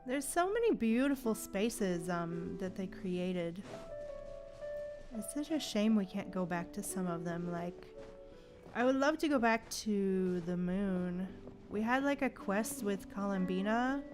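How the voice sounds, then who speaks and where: A woman's voice: mid-range voice, lively intonation, a little slow, over music. A woman livestreaming a game with casual, playful commentary, chatting to viewers and reacting aloud to what happens.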